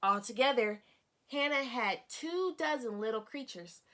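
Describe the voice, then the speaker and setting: Woman, slightly high voice, teacher-style storybook read-aloud, instructive, aimed at young children, questions to listeners. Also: lively intonation, measured pace, fairly bright tone. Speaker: teacher reading a picture book aloud.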